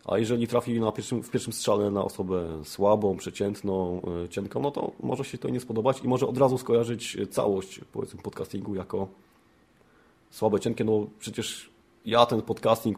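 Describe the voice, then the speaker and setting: A man's voice: sits low, a little mellow, husky. A man from Poland hosting a podcast in an informal opinion monologue, phrasing hesitantly with frequent rhetorical tag questions. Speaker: podcast host.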